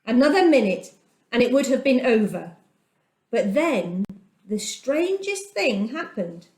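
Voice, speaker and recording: Middle-aged lady reading a kids bible story, slow and gentle, pitch swings all over, roomy echo. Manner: gentle, expressive read-aloud with dramatic pauses. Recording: echoey room.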